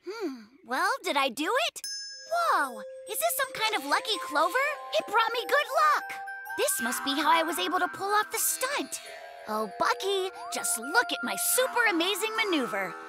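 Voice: extremely high pitch, big pitch swings, normal speed. An actor playing a young polar bear cub, cocky and anxious underneath, with dramatic bragging cartoon delivery. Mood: amazed, bragging. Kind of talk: children's cartoon voice acting. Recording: loud music behind the voice.

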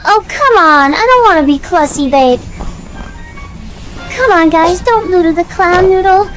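A woman's voice: very high voice, lively intonation, measured pace, poor audio. A woman voicing an anxious, exasperated little female jester in a cartoon comic dub, whiny and flustered. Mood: weary complaining. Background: music.

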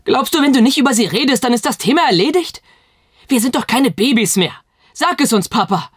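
Earnest boy, slightly husky. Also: very high voice, expressive, brisk, no echo, studio quality, heavily acted. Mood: hurt, insistent. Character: young boy, thoughtful, earnest and insistent. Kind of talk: fantasy audio drama acting. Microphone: studio condenser microphone, close.

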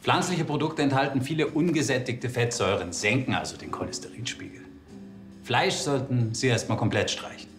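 A man's voice: medium pitch, brisk, a bit bright, roomy sound, soft background music, close to an everyday voice. An actor playing an earnest, instructive male nutrition counsellor in a TV series. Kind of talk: naturalistic TV acting.

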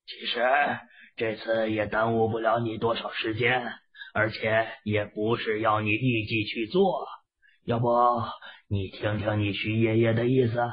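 A man's voice: fairly low pitch, takes it slowly, lo-fi sound. A man voicing a hearty, crafty elderly military leader in an audio novel. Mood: coaxing.

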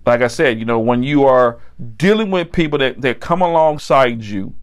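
A middle-aged American man preaching a sermon, phrasing deliberately and repeating key lines for emphasis. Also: mid-range voice, animated. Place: indoor set with a decorated backdrop.

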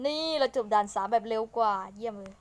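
A woman's voice: a little high, quick pace. A woman hosting a gaming video, giving informal gameplay commentary and thinking aloud as she goes. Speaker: gaming channel host. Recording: clearly noisy home recording.

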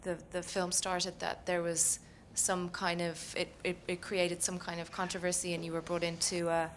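Woman, audience questioner, lower flat voice, halting and unsure delivery. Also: a little hiss or hum. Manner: halting, many restarts. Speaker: audience member asking a question. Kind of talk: question from the floor.

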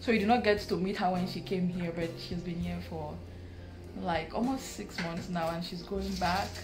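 A woman's voice: lowish voice, fairly even intonation, moderate pace. A woman in her thirties, a new mom, vlogging casually at home and talking to her viewers with emotion. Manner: emotional, chatty, addresses viewers as guys. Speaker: lifestyle vlogger. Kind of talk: casual vlog talk to camera. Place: home indoors.

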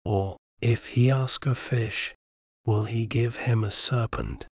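A man reading scripture aloud in a measured, soothing bedtime manner, with long pauses between phrases. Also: mid-range voice, fairly even intonation, darkish tone, no echo, studio quality. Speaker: scripture reader. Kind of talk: slow bedtime Bible reading.

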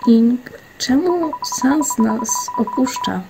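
A solemn child in a cartoon dub voiced by a woman, caring and resolute. The voice is a bit high, with clear music underneath. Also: animated, very slow, full character voice, low-quality recording. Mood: pleading, caring.

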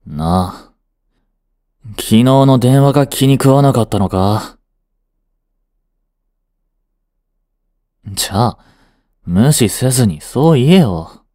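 A man's voice: medium pitch, animated, measured pace, long pauses, mellow, dry recording, lightly acted. A man acting an aloof, blunt but devoted young boyfriend in a romantic audio drama, curt and intimate. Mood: irritated, cold.

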